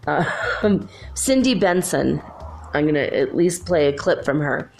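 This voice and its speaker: A woman's voice: low-pitched, animated, measured pace, creaky, a little mellow. A woman hosting a solo podcast, talking in a rambling, chatty way while congested. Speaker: podcast host. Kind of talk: casual solo podcast chat.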